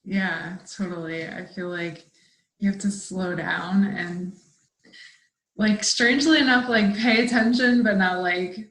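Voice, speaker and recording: A woman's voice: mid-range voice, fairly even intonation, measured pace, fairly bright tone. Woman host chatting in an echoey room. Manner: affirming, informal, musing. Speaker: interviewer, host. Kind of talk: casual chat.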